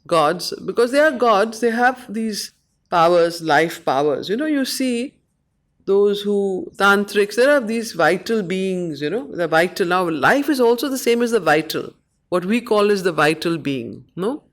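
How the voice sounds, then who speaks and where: A woman with an Indian accent, facilitating a study circle, reading and explaining verse in short, measured phrases. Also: mid-range voice, expressive. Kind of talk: reading poetry aloud and explaining it to a study group.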